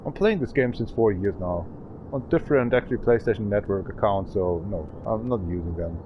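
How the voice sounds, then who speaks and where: A man's voice: lowish voice, a little fast, dull audio, noisy background. A man in his thirties streaming a game, rambling and swearing in a frustrated way. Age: 30s. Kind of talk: casual gaming stream chat.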